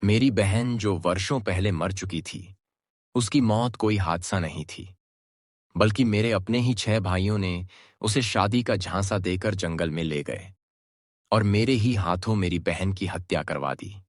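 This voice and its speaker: Man acting a low-voiced, pained younger brother. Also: a little slow, no room sound, clean studio sound. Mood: pained, solemn. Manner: pained, solemn. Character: grieving younger brother exposing his brothers' crime. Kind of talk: cartoon story voice acting.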